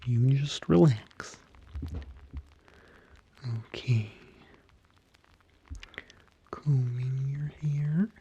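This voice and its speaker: An eerie, courteous yet menacing barber creature, acted by a man, murmurs in a very dark, soft tone. The words come extremely slowly, with halting pauses and a breathy half-whisper, as in an ASMR roleplay. The close recording sounds slightly muffled. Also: mid-range voice, a little hiss or hum. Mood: soothing, creepy. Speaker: ASMR performer.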